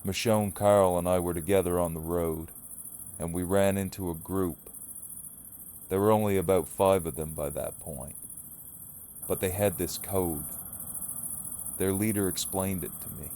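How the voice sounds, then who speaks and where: A grim leader of survivors , acted by a man for an audio drama. His voice sits very low and he talks slowly, weighing his words with wary intensity. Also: fairly even intonation, warm tone, a little hiss or hum. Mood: grim, confiding.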